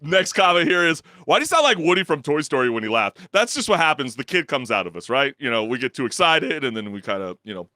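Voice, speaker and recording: A young man co-hosting a gaming talk show, who reads viewer comments aloud and laughs often in a low baritone that sometimes squeaks when he gets excited. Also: fast, hardly any pauses, dry recording, clean studio sound. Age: young adult. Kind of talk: casual chat.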